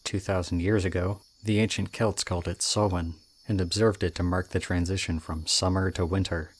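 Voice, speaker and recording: A man's voice: low voice, flat delivery, moderate pace, breathy edge. A man playing an odd, charming young student with a creepy intensity, speaking casually and hesitantly to the listener in an audio roleplay. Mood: reflective. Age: young adult.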